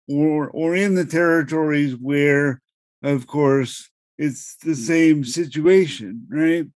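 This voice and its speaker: A middle-aged man on a podcast, explaining legal matters in a measured way and repeating words as he starts each thought. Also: on the high side, dry recording. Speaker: podcast co-host explaining law. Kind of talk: explanatory conversational chat.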